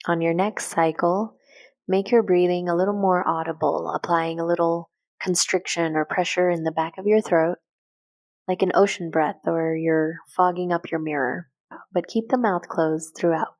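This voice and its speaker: Low-pitched woman guiding a calm meditation. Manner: calm, gentle, unhurried with short measured phrases. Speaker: guided meditation instructor. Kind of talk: guided meditation, soft instructional narration.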